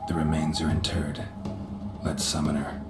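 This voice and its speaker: An actor playing a gruff, weary monster hunter in a video game, speaking in terse, dry, low gravelly tones. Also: male voice, little pitch movement, normal speed. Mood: solemn. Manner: terse, dry, clipped delivery. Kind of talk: video game character dialogue. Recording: roomy reverb, loud game music behind.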